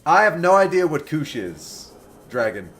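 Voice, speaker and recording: A man in his twenties talks casually with his live chat as he plays a game. His voice sits a little high and swings up and down a lot. He answers viewers by name with a joking, animated manner. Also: unhurried. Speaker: game streamer. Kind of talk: casual livestream commentary, chatting with viewers.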